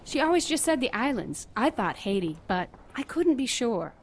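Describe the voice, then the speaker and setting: A woman's voice: fairly high pitch, sing-song, normal speed, slight background noise. A woman playing a chatty, flirtatious nurse in her fifties, in conversational audio drama dialogue. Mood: playful, gossipy.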